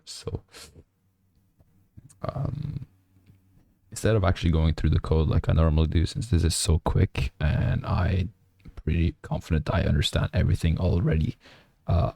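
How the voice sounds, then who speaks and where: A young man with a hoarse, nearly lost voice, mumbling through a late-night coding stream with many fillers. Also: sits low. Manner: speaks quietly to avoid disturbing neighbors. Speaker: programming streamer. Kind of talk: casual coding stream commentary. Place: small apartment room at night.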